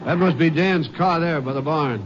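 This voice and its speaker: An actor playing a plain-spoken, folksy county sheriff in old radio drama, talking in clipped phrases. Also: male voice, on the high side, normal speed, natural voice. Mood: businesslike. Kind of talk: 1940s-50s radio drama acting. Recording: muffled, noisy old radio recording.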